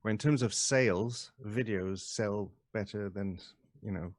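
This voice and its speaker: A man chatting on a panel in a relaxed way, often trailing off quietly. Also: on the low side, unhurried. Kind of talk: casual video call panel.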